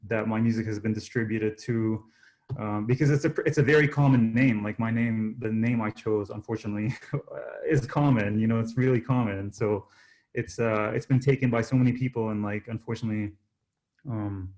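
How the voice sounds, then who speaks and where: A man, a singer-songwriter guest, chatting casually in an interview in a hesitant, halting way that often repeats words. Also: lowish voice, fairly even intonation, a little mellow. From Jordanian-born, Canada.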